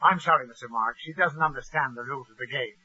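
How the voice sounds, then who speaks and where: A man's voice: mid-range voice, fast talker, pushed and bright, breathy edge. An actor playing a flustered, indignant, henpecked father in old radio comedy, sputtering and exasperated. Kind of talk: 1940s-50s radio comedy acting. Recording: muffled, slightly noisy old radio recording.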